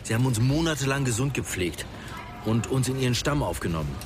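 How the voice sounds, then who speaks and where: Guy acting thoughtful survivor telling his story, normal pitch, natural, noisy place behind. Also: expressive, quick pace, lightly acted. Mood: reflective. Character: male author and plane crash survivor, reflective. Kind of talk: naturalistic radio drama storytelling. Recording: noisy public ambience behind.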